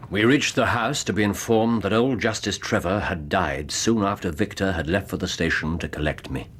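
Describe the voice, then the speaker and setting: An actor in a radio drama playing a brooding, cerebral English detective, precise and given to thinking aloud in broken bursts. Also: male voice, low-pitched, moderate pace, fairly bright tone, slight background noise. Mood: sombre, reflective. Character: brooding, cerebral English male detective recounting an old case.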